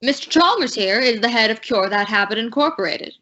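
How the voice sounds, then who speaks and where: A woman's voice: fairly high pitch, expressive, fairly quick, fairly bright tone. A woman playing a stern, affronted school official, curt and formal, in an old-time radio comedy. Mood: indignant. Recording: dull, lo-fi audio.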